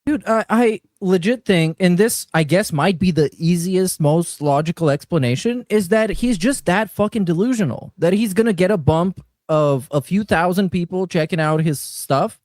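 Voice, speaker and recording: A man on a streamed panel show giving casual opinions, emphatic and sweary, with halting repetitions. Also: high voice, expressive, a little mellow, no room sound.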